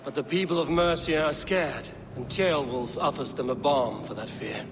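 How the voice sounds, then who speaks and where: Man as a stern Viking warrior, fairly high-pitched. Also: background noise, lightly acted. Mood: pressing. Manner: blunt, measured, firm. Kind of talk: dramatic video game acting. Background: music.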